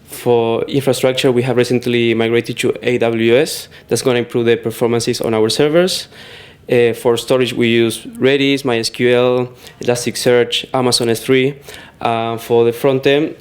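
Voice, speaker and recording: A man in his thirties from Argentina with an Argentine Spanish accent, answering interview questions about his work in a steady, measured way into a handheld mic in an office lounge. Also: mid-range voice. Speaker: interviewed software engineer. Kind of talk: casual interview. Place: office lounge with wood-panelled wall. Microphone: handheld mic held out by interviewer.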